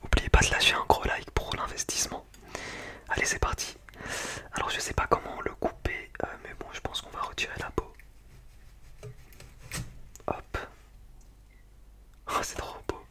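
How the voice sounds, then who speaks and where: A man speaking in a breathy, unvoiced whisper, chatting casually and in slang in an ASMR tasting style. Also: moderate pace, pauses often, sharp tone. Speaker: ASMR food-tasting video creator.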